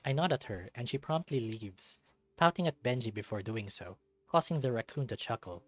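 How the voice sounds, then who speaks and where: A man's voice: medium pitch, lively intonation, normal speed. A man reading scripted visual novel narration as the storyteller. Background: music.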